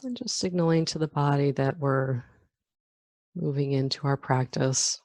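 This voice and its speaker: Female meditation teacher, deep register, calm and slow with long pauses, dry sound. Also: dry recording. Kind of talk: guided meditation.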